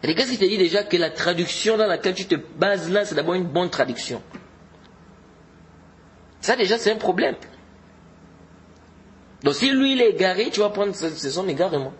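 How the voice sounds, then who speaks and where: A man's voice: high voice, lively intonation, moderate pace, pauses often. An earnest man teaches religion in a slightly raised voice. He drives his points home by repeating them and sprinkles in Arabic terms. A faint hiss sits under the recording. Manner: repetitive, exhorting, mixes in Arabic religious terms. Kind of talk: informal religious lesson.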